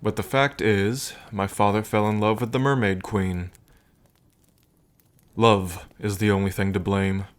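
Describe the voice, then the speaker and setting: A man playing a smitten, teasing dragon king in an intimate ASMR roleplay, with unhurried, murmuring pauses. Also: lowish voice, fairly even intonation, close to an everyday voice. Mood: grave, measured. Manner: unhurried, murmuring pauses, hums and soft chuckles.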